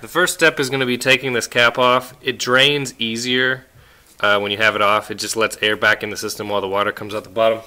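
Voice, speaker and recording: A man's voice: lowish voice, brisk. A man casually explaining step by step how he takes apart a car's cooling system, recorded outdoors on a handheld camera while he works. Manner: relaxed, explains step by step, asks viewers questions. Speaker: car restoration vlogger. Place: outdoor driveway by a car engine.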